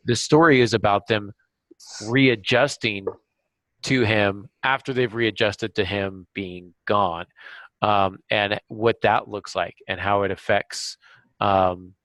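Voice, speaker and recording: A man hosting a writing podcast, explaining things casually in a halting way and often correcting himself. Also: lowish voice, steady tone, a bit bright, no room sound, rough recording. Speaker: podcast host and novelist. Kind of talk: casual craft discussion with examples.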